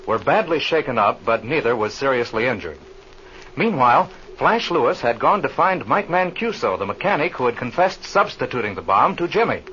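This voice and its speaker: A man's voice: medium pitch, pushed and bright. A man narrating an old-time radio adventure serial as its announcer, on a muffled, hissy old recording. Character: brisk male announcer recapping an aviation adventure serial.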